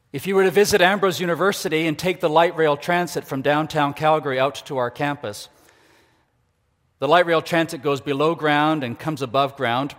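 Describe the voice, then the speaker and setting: A man's voice: a little high, a bit bright. Older man preaching in a reverberant hall. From Ecuador. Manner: earnest, measured, reflective. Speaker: guest preacher, university leader. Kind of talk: sermon. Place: church sanctuary. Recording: long reverb of a large hall.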